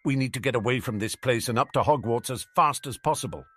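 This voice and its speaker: A man voicing an urgent, forceful schoolboy wizard who is insistent and commanding, in a storybook reading. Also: mid-range voice, fast talker, husky, dry recording, voice pushed up. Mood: alarmed.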